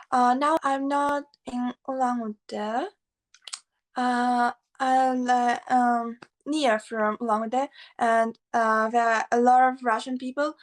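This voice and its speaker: Buryat-speaking woman guest, highish flat voice, slow and careful English, video call. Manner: slow, simple broken English with fillers. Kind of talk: casual video-call chat.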